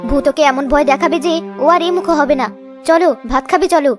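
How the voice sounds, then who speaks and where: A woman's voice: high voice, quick pace, music in the background, close to an everyday voice. A woman voicing a scheming, irritable village sister-in-law in a cartoon, bossy and slyly coaxing. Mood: sharp, scheming. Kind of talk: cartoon story voice acting.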